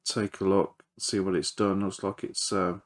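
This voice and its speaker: Man, lowish pitch, casual repair commentary, hesitant, thinking aloud, electronics repairer presenting a video. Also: flat delivery, unhurried.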